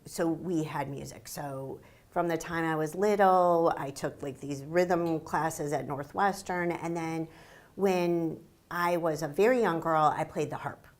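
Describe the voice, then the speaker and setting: Middle-aged woman guest, mild Chicago accent, lower pitch, friendly measured delivery. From Chicago suburbs, Illinois. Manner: friendly, measured, a little self-deprecating. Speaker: guest, business executive and author. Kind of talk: conversational interview.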